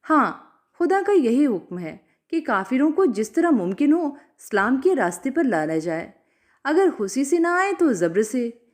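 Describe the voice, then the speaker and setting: A woman's voice: high voice, very animated, unhurried. A woman voicing a stern, menacing male cleric in a story, preachy and commanding. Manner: commanding, self-justifying, preachy.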